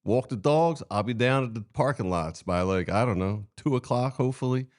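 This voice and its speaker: A man co-hosting a sports talk show, teasing and firing back quick comebacks. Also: mid-range voice, darkish tone. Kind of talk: casual sports talk banter.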